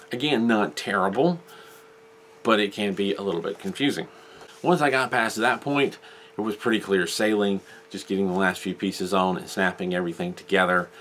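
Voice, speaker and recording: A man's voice: low-pitched, a bit bright. A man, chatting to camera in a small room as he reviews a model kit and explains each step. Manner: chatty, explains step by step, gestures while talking. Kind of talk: casual review vlog to camera. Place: small indoor room with model-filled shelves.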